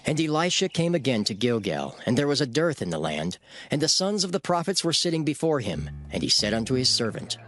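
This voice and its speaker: A man narrating dramatized scripture in a measured, solemn storytelling manner. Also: mid-range voice, very animated, fairly bright tone. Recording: faint music behind the voice.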